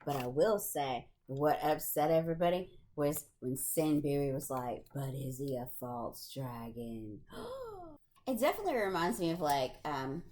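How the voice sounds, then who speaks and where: A woman's voice: low-pitched, expressive, a little slow, a little mellow, slight background noise. A woman co-hosting a casual book discussion, offering her opinions in a conversational way. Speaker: podcast co-host.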